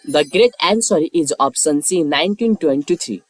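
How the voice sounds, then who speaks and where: A man's voice: fairly high pitch, expressive, moderate pace, dry recording. A man reading scripted quiz questions and lettered answer options as a narrator.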